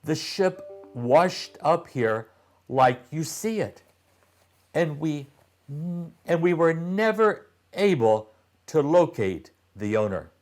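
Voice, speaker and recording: A man's voice: on the high side, sing-song, slow pace, darkish tone, soft background music, voice pushed up. A man voicing a friendly, reassuring companion in a children's storybook, explaining things simply.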